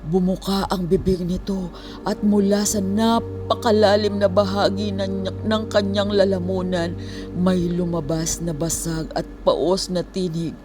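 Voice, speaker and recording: Slow, suspenseful horror storyteller over music. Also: medium pitch, flat delivery. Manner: suspenseful, hushed at times, dramatic pauses. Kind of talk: scripted horror narration.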